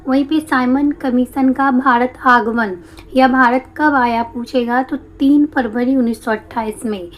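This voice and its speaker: A woman teacher with a slightly hoarse throat, explaining a history lesson step by step and answering her own questions. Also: high-pitched, fairly even intonation, unhurried, low-quality recording. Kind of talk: exam-prep history lesson.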